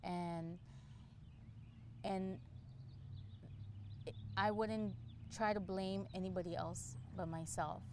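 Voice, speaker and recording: A woman's voice: lowish voice, fairly even intonation, slow pace, long pauses, darkish tone. Middle-aged woman thinking aloud, background noise. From El Salvador. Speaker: interview guest, law enforcement candidate for office. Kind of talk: casual, candid interview answers.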